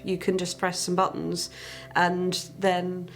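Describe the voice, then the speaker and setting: A woman's voice: lowish voice, monotone, slow, faint music behind, poor audio. A woman talking casually as a participant in a documentary. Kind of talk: casual chat. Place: home conservatory.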